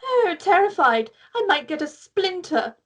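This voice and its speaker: A haughty young prince read by a woman in an amateur script read. The voice is high and takes its time. The delivery drips with sneering sarcasm and mockery. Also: very animated, unhurried, fairly bright tone, heavily acted. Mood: haughty, sarcastic. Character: haughty, mocking teenage boy prince.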